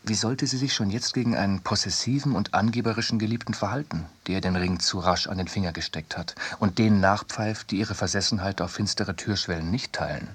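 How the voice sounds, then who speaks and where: A man narrates literary prose in a fairly low, husky voice. His phrasing is measured and deliberate, as in a scripted reading. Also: no room sound.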